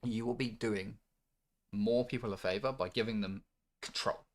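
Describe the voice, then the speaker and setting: A man's voice: on the low side, moderate pace. Emphatic, sweary man in discussion. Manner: emphatic, sweary, animated when arguing. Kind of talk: casual opinionated discussion. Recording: music playing behind the voice. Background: music.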